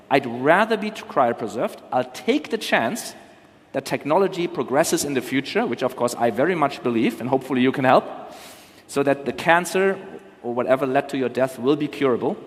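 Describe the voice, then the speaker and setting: A man explaining things as a conference panel speaker, in a careful, qualified manner. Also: medium pitch. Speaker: panel speaker, cryopreservation company representative. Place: large conference hall. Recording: reverberant hall sound.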